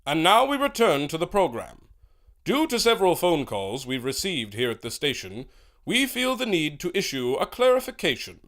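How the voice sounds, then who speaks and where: A man's voice: fairly high pitch, huge pitch swings, normal speed, a bit bright. A man playing an earnest, formal announcer in a 1930s-style radio serial, with a dramatic salesman's delivery. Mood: solemn, formal. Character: earnest old-time radio announcer reading adverts and bulletins.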